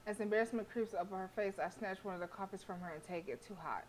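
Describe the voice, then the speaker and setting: A woman's voice: medium pitch, quick pace, a little hiss or hum. A woman streamer reading a visual novel aloud expressively, with casual, sassy asides. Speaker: game streamer.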